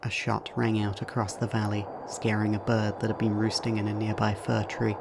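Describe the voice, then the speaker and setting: A man's voice: fairly low pitch, monotone, moderate pace, creaky, noisy background. A man reading scripted narration for an audio drama as its narrator.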